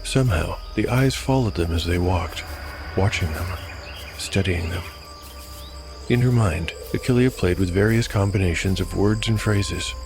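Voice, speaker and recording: A man's voice: sits low, vocal fry, noisy background. A man hosting an audio drama podcast, speaking in a scripted storytelling style. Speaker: host, narrator and game master of an audio drama podcast. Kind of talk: scripted fantasy storytelling narration.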